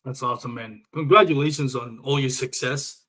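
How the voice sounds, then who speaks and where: A man's voice: medium pitch, normal speed, bright, forceful tone. Casual male show host from Puerto Rico. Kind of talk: casual live show interview.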